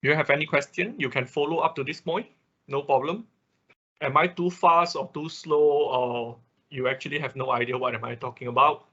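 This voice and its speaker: A male instructor leads an informal online technical lesson in non-native English. His voice is sharp-edged and somewhat raspy at a middle pitch. He explains things by restating them and checking that listeners follow. The sound is slightly dull, like a lo-fi online call. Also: steady tone, a little fast. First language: not English. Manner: explanatory, often checking in with listeners, repeating himself. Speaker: instructor of an online robotics class.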